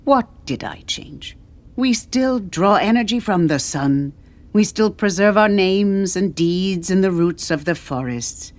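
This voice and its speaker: A woman voicing an aged, cheerful, mischievous historian with authority, her voice a little cracked and weary, telling her tale in measured, oratorical phrases. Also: mid-range voice, expressive, lightly acted. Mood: wry, weary.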